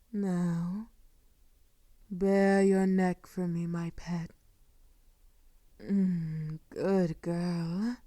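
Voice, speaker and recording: A woman playing a seductive, sadistic female vampire in an intimate audio roleplay, commanding and mock-tender, often slipping into a breathy whisper. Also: lowish voice, warm tone, no echo, clean studio sound, exaggerated voice. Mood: predatory, hushed.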